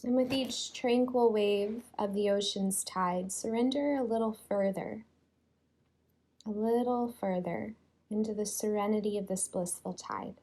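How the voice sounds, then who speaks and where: A woman leading a guided yoga relaxation in a soothing, unhurried way, leaving long pauses between phrases. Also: medium pitch, fairly even intonation, dark tone, low-quality recording. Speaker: yoga nidra teacher.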